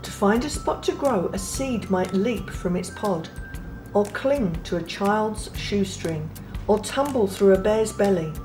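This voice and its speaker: Slow, gentle woman reading a picture book. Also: medium pitch, lively intonation, poor audio. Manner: gentle, unhurried reading with soft pauses. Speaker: children's book reader. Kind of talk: read-aloud storybook narration. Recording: read over clear background music.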